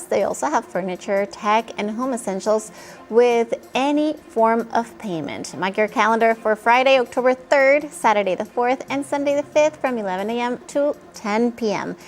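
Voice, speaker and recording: Upbeat woman news co-anchor. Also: a little high. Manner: upbeat, enthusiastic. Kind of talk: live TV news and sponsored read.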